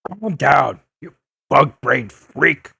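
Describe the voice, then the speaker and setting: An actor playing a gruff, blustering older newspaperman in an audio drama, insistent and quick to insult. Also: medium pitch, lively intonation, pushed and bright, dry recording, studio quality, heavily acted. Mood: combative, boastful. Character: gruff, combative older male newspaperman turned blogger. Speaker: investigative reporter interviewing a superhero. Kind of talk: audio drama dub acting.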